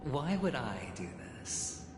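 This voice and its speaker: A man's voice: mid-range voice, sing-song, slow, music in the background. A man playing a cunning, self-assured gambler in a video game, speaking with wry, theatrical deliberation. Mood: amused, coy. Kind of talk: dramatic video game dialogue. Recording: echoey hall reverb, background noise.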